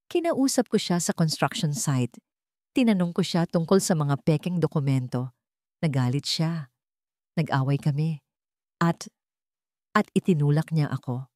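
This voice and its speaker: A woman's voice: low-pitched, animated, measured pace, long pauses, darkish tone, no room sound, studio quality. A woman voicing a weary, remorseful father leaving a grave but determined last message in an audio drama story. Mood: grave, confessing. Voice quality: weak, tired.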